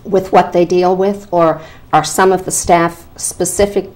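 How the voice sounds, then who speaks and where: A woman hosting a television interview show, slightly hoarse from a cold, asking conversational questions and acting out a caller's worries. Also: fairly low pitch, fairly even intonation, a little slow, darkish tone. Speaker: television talk show host. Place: television studio.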